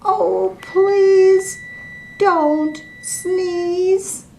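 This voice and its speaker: A woman's voice: very high-pitched, fairly even intonation, drawn-out words, vocal fry, a little mellow, slight background noise, pitched above the performer's own voice, full character voice. Woman doing worried pleading fish.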